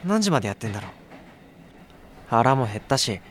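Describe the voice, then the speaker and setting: A man's voice: medium pitch, sing-song, a little fast, a little hiss or hum, natural voice. A man playing a harried young courier in a radio drama, mixing casual first-person narration with exasperated asides. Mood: wry, pleased. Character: harried young male courier, wry and irritable.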